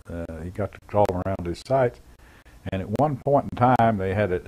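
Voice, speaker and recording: A man recounting his experience as an eyewitness in an unscripted, halting, plain-spoken and matter-of-fact way. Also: sits low, a little mellow, rough recording. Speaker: former air traffic controller giving an eyewitness account. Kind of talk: unscripted first-person recollection.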